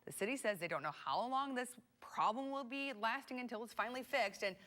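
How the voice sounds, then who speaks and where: A woman's voice: a little high, animated, brisk, fairly bright tone. American woman anchoring TV news. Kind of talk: scripted TV news delivery. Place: television news studio.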